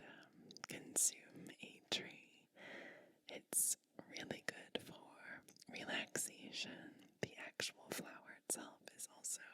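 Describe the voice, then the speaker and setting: A woman whispers slowly as a kindly cottage witch in an ASMR roleplay. She is attentive and soothing, addressing the listener directly. Her hushed voice is crisp and very bright. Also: no echo. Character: kind, nurturing cottage witch. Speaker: ASMR performer playing a witch.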